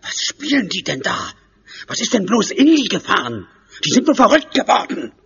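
A high, badly rasping male voice plays an agitated, bossy theatre director in a radio drama. It leaps up and down, slightly muffled. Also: wildly swooping pitch, moderate pace, fairly bright tone, exaggerated voice. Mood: bewildered. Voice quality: very husky. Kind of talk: radio play acting. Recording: muffled studio recording.

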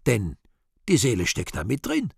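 Radio drama devil: husky, very animated, dry close sound, mock-polite, sardonic and sly. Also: male voice, mid-range voice, normal speed, dry recording, heavily acted. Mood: sly, insistent. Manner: theatrical, mock-polite, insinuating. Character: sardonic, courteous devil with a salesman's slyness. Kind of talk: radio play acting, reading own stage directions.

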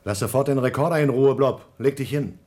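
A man's voice: medium pitch, brisk, darkish tone, slight background noise, lightly acted. An actor playing an anxious, rule-bound, devout astronaut and family man in a radio play, speaking with tense, scolding insistence.

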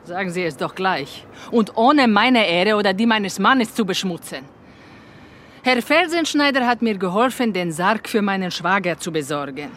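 An actress playing a proud, sharp-tongued Serbian wife in a radio drama, scornful and cutting. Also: female voice, mid-range voice, animated, normal speed, slight background noise, lightly acted. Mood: scornful, defiant.

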